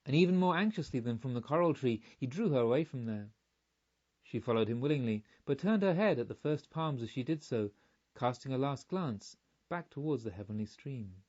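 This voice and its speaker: A man reading a literary audiobook in a measured, formal way, on a slightly muffled recording. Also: mid-range voice, animated, a little mellow. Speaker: audiobook narrator. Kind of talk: literary scripted narration.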